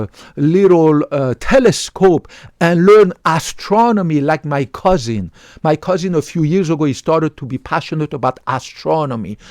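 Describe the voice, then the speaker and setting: Emphatic doctor with a higher voice. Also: male voice, no echo, studio quality. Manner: emphatic, repeats commands, tags lines with "right?". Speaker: medical doctor giving health advice. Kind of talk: direct-to-camera health talk.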